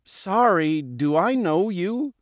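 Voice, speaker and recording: A man's voice: sits high, animated, takes it slowly, no room sound, studio quality, voice pushed up. A man voicing a plucky little fish in an audiobook, polite and earnest with a cheeky edge. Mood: puzzled, polite. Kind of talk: audiobook character voice.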